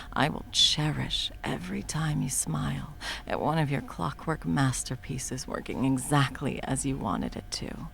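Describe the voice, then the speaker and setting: A woman voicing a scheming female supervillain who swears and often drops to a soft whisper, in a one-sided audio roleplay. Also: rumbling low, vocal fry, a little hiss or hum, heavily acted.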